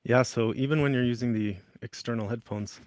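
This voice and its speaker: A man's voice: medium pitch, fairly even intonation, a little fast, darkish tone, slight background noise. A man hosting a casual tutorial, somewhat hesitant as he thinks aloud. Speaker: tutorial host.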